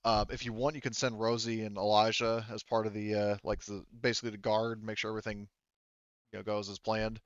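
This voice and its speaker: A man's voice: lowish voice, little pitch movement, brisk, smooth, no echo, highs cut off. A man running a tabletop game as game master, talking casually and rambling as he searches for words.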